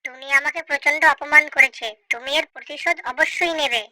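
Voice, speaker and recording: A woman voicing a spiteful, scheming female crow in a children's cartoon, with a sharp, needling manner. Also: high-pitched, fairly quick, no echo. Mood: indignant, vengeful.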